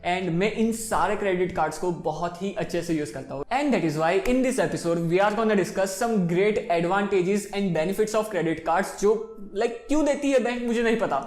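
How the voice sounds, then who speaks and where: A man's voice: high-pitched, measured pace, few pauses, a little mellow. A man in his twenties with an Indian accent, explaining things informally and directly to viewers as a finance show host, switching between two languages. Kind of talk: explainer to camera, mixing Hindi and English. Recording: roomy echo, faint music behind.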